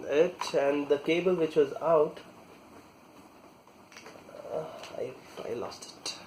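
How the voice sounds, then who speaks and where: Halting man giving a slow hands-on tutorial. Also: on the high side, a little mellow, slight background noise, poor audio. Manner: halting, pausing mid-sentence while working. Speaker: product reviewer demonstrating installation. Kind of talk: casual hands-on tutorial talk.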